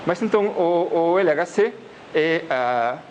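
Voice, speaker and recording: A man's voice: on the high side, lively intonation, takes it slowly, darkish tone, a little hiss or hum. Hesitant man with an Argentine accent, lecturing. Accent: Argentine Spanish. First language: Spanish. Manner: hesitant, many filler sounds. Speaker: physics lecturer. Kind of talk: academic colloquium talk. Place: lecture hall.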